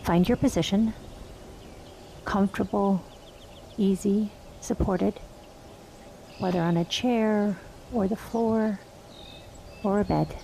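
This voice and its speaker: A woman guiding a meditation, speaking softly and unhurriedly with long pauses. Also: mid-range voice, steady tone, gravelly, a little hiss or hum. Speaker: meditation guide. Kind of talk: guided meditation.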